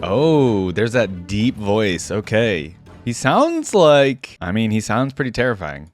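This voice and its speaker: A man's voice: fairly low pitch, wildly swooping pitch, takes it slowly, soft background music. A man hosting a reaction video, giving casual commentary, rambling as he thinks aloud and sometimes reading text out.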